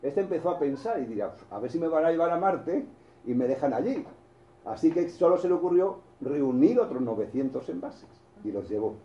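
Preacher, male, Spain Spanish accent, very dark warm voice, anecdotal style, echoey dull church sound. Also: fairly high pitch. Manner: storytelling with frequent restarts and asides to the congregation. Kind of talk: sermon.

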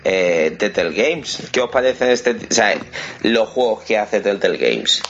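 Lowish-voiced man, halting casual chat. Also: animated, fairly bright tone. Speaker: video game podcast host. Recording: dull lo-fi mic, faint noise.

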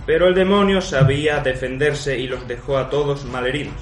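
A man's voice: mid-range voice, steady tone, a little fast. A man narrating a fantasy radio drama as game master in a dramatic, scripted style. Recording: echoey room with music behind.

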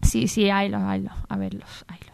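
Clear-voiced woman reading radio news. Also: mid-range voice, level tone, moderate pace, darkish tone. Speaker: new news contributor on a radio show. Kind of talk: reading news amid casual banter.

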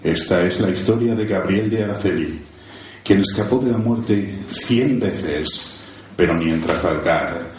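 A man's voice: low voice, a little slow. A man playing a grave storyteller in a gothic zombie war radio play, with solemn, ominous and theatrical delivery. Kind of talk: dramatic scripted radio-play narration. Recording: very echoey with loud music.

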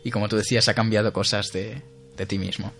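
A man's voice: lowish voice, fairly even intonation, fast, gravelly, a bit bright. A young man, co-hosting a casual podcast chat, rambling with many restarts. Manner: rambling, with frequent restarts and laughter. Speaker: podcast co-host.

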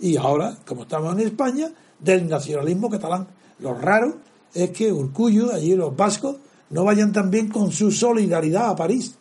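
A man's voice: high-pitched, animated, moderate pace, warm tone. An older man giving emphatic, lecturing opinions as a radio commentator.

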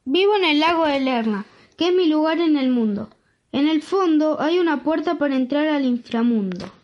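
A woman with an Argentine accent and a very high voice voicing a venomous, gleeful lake monster in a rhymed storybook reading. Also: lively intonation, normal speed, a little mellow, muffled recording. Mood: proud. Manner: reciting rhymed verse.

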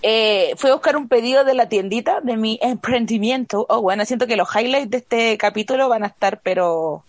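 A woman's voice: fairly high pitch, moderate pace, dry recording. A young Chilean woman co-hosting a podcast, chatting casually and ranting expressively in heavy slang with a Chilean accent. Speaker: podcast co-host. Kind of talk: casual chat.

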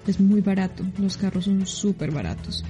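A Colombian woman answering interview questions in a chatty, relaxed way, often laughing. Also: medium pitch, moderate pace, faint music behind. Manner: chatty, laughing, many restarts. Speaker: guest living abroad.

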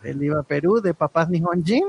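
A high-voiced man chats his way through a live stream. He teases his guests and slips in Japanese words. His voice is recorded close to the mic and sounds dry. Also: normal speed, gravelly, slightly rough, no echo, muffled recording. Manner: chatty, teasing, sprinkles Japanese words. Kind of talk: casual live-stream hosting.

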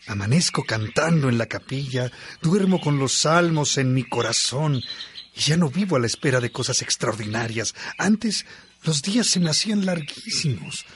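An actor playing a devout, respectful disciple in a radio drama, with birdsong clearly heard behind him. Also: male voice, on the high side, slight background noise, lightly acted. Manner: respectful, earnest. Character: Faustino, devout, eager disciple content with communal life.

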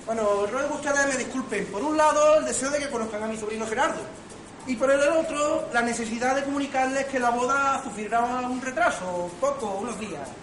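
Scheming farce uncle male actor, emphatic and quick, amateur radio play, noisy echoey room with crowd murmur. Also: very high voice, a bit bright, far from the mic. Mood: formal, apologetic. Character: bossy, scheming two-faced uncle, a gentleman in farce. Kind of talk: amateur radio comedy acting.

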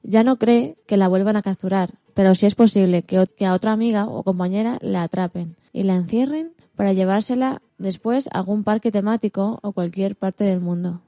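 A woman's voice: mid-range voice, brisk, hardly any pauses, mellow, no room sound. A woman with an accent from Spain co-hosting a radio show, reading scripted narration meant for all ages. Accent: Spanish from Spain.

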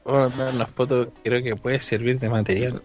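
A man's voice: medium pitch, little pitch movement, a little fast, dull audio. A man chatting casually and jokingly as a comedy show panelist.

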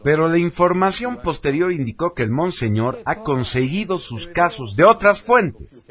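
A man narrates scripted radio news as its presenter. His voice sits a little high for a man, and his intonation moves widely and animatedly through the reading. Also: lively intonation, moderate pace, fairly bright tone.

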